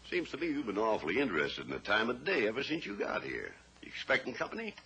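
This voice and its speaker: An actor playing a blunt, stubborn rancher and father who talks gruffly and plainly in a 1950s radio drama. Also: medium pitch, huge pitch swings, fast, pushed and bright, heavily acted. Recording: old radio recording with faint hiss.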